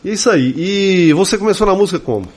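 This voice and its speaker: Brazilian radio host, lively varied pitch. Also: male voice, fast. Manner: effusive, informal, interjecting. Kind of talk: casual chatty interview. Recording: clear background noise and ambience.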